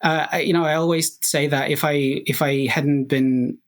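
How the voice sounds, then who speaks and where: A man's voice: fairly high pitch, steady tone, moderate pace. A young man, a Greek native speaker, explaining ideas as a podcast guest, thoughtful and full of fillers and restarts. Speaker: guest researcher and musician. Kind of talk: technical podcast interview.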